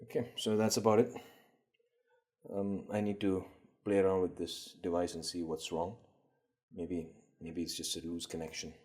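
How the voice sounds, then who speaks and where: A man's voice: sits low, flat delivery, normal speed, darkish tone, breathy edge. A man hosting a casual gadget demonstration, thinking aloud in a hesitant, unscripted way as he tests things. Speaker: gadget collector and video host.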